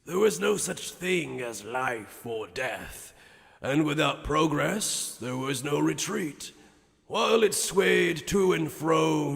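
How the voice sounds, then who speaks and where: A man voicing an ancient, grandiose sage in an anime fan dub, telling lore in a measured, portentous and theatrical way. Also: on the high side, gravelly, a bit bright, full character voice. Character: ancient, grandiose male sage recounting the world's creation. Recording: long hall-like reverb.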